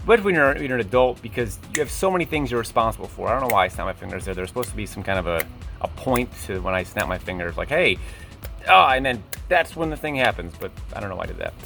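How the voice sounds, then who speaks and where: A man's voice: lowish voice, expressive, quick pace, gravelly, sharp tone, soft background music. A man of twenty-eight talking casually as a vlog host, rambling and self-deprecating as he wanders into tangents. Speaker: YouTube creator and host.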